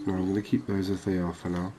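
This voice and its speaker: A man giving quiet, cozy ASMR commentary on a game, unhurried and thinking aloud. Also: deep voice, flat delivery, noisy background. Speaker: gaming video host.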